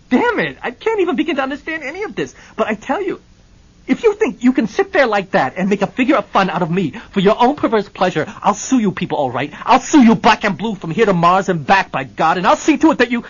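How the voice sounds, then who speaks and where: A man voicing a hot-tempered, indignant man who sputters and shouts, on a muffled lo-fi recording. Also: a little high, very animated, normal speed, husky, pitched above the performer's own voice. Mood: outraged.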